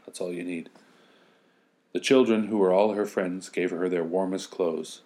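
A man's voice: low-pitched, fairly even intonation, normal speed, dark tone. A middle-aged man reading a children's fairy tale as a storyteller, with joking asides.

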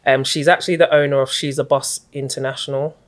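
A middle-aged woman, a guest in an interview, recounting her story in a reflective, even-toned, unhurried way. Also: rumbling low. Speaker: guest, community activist.

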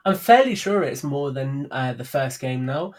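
A man's voice: mid-range voice, animated, normal speed. A man speaking as a guest on a podcast, in casual conversation.

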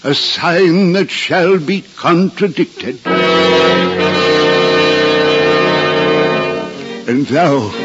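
An actor playing an aged, devout male prophet with solemn, declamatory delivery in a 1950s radio drama. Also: fairly high pitch. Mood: grave warning. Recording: dull 1950s radio recording, music behind.

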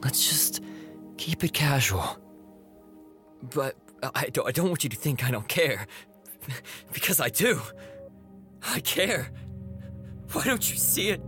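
A man's voice: on the high side, very animated, slow, long pauses, sharp tone, faint music behind, heavily acted. A man playing an obsessive, volatile captor. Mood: tender. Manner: swings from tender murmurs to screaming rage. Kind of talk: horror monologue acting.

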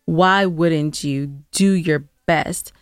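Female podcast co-host, slightly low pitch, dry close sound, opinionated and challenging in relaxed conversation. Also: extremely slow, smooth, dry recording. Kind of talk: casual conversational advice discussion.

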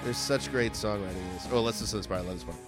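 A man's voice: fairly low pitch, very fast. Enthusiastic man over music. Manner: enthusiastic, informal, swearing. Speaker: music podcast co-host. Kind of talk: casual chat. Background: pop-rock songs playing.

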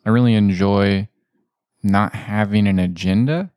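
A man with a fairly low voice hosts a podcast conversation. He thinks aloud in a reflective, easygoing way and often restarts his sentences. The sound is dry and close to the mic. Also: steady tone, measured pace, a little mellow, clear, resonant tone, no room sound. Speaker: podcast host. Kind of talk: casual conversation.

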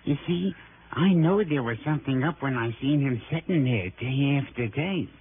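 A man's voice: medium pitch, lively intonation, normal speed, close to an everyday voice. A man playing a sly, grasping small-time informer, streetwise and wheedling, in an early 1960s radio drama. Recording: hissy, muffled old radio broadcast.

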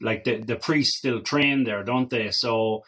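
An Irish man with a mid-pitched voice hosts a casual interview. His delivery is informal and encouraging, like easy conversation. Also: unhurried, a bit bright. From Ireland. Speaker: interviewer, host.

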